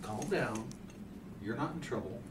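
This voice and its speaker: A man's voice: mid-range voice, lively intonation, measured pace, natural voice. A man playing a creepy, outwardly kindly dentist with unctuous, condescending persuasion in an audio story, recorded in a hotel room. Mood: coaxing. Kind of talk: hobby audio story reading. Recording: roomy hotel room, distant, noisy hum.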